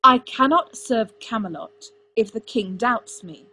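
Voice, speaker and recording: A woman's voice: medium pitch, normal speed, a bit bright, breathy edge, rough recording. Casting: female reader as a headstrong young prince, angry and commanding, snapping his lines in a fantasy audiobook. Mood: frustrated, shouting. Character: proud, bitter young crown prince, stubborn and angry.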